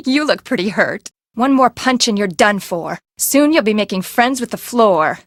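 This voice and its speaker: A woman's voice: mid-range voice, expressive, moderate pace, no echo, clean studio sound, close to an everyday voice. A woman voice actor playing a haughty, hot-tempered, eccentric woman with a melodramatic delivery in a video game. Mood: taunting.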